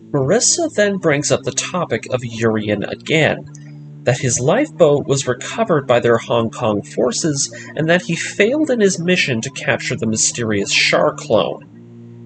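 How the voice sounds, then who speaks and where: A man narrating a scripted story breakdown as an enthusiastic fan host, with expressive, emphatic delivery. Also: medium pitch, background noise. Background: background music.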